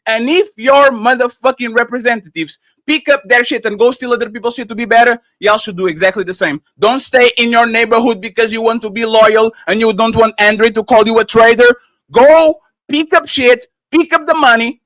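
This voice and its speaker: High, bright-voiced man from Portugal. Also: steady tone, brisk, no room sound. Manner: blunt, profane, insistent, stumbling restarts. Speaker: debater. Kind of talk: heated debate.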